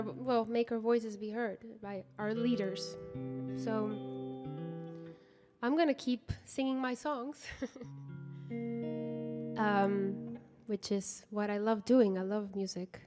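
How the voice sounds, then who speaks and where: A woman from Guatemala, a singer talking earnestly and gratefully to a concert audience between songs, with many pauses. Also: mid-range voice. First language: Spanish. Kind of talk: between-song stage talk. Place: concert stage in a large hall. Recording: live hall reverb with guitar behind.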